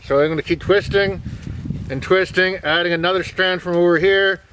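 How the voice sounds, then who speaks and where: A man talking with cord held in his teeth, so his voice comes out nasal and muffled with a lisp, louder and higher than usual. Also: steady tone, normal speed, a little hiss or hum.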